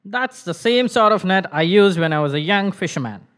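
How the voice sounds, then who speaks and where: Man playing a slow-talking, dreamy old grandpa fisherman. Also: sits high, expressive, fairly bright tone. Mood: wistful. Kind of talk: puppet show storytelling for children.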